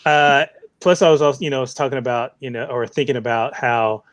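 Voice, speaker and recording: A man's voice: medium pitch, fast talker, no echo. A man co-hosting a casual chat, talking hesitantly and searching for his words. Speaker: podcast co-host.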